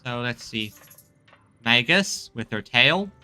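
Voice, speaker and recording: A man's voice: medium pitch, lively intonation, slow, sharp tone, soft background music. A man streaming a game and reading dialogue aloud, often stumbling and repeating phrases.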